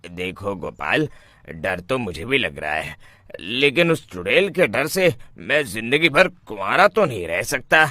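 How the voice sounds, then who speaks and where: Man acting a bright-voiced nervous young bridegroom. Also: fairly low pitch, sing-song, a little slow, slight background noise, exaggerated voice. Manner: anxious, protesting. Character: nervous young village bridegroom, fearful but pleading. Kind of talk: cartoon story acting.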